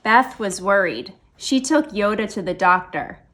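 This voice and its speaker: Slow, expressive woman reading a storybook. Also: medium pitch. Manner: expressive, deliberate phrasing with dramatic emphasis. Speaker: author reading her own picture book aloud. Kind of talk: animated children's story read-aloud.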